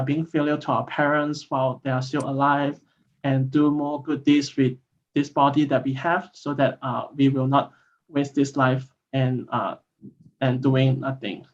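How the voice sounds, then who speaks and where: A man whose first language is Mandarin shares his thoughts at an online gathering. He stops and restarts often, filling gaps with small sounds. The audio is muffled, like a low-quality call. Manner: hesitant, many fillers and restarts. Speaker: volunteer speaker. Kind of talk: online sharing talk.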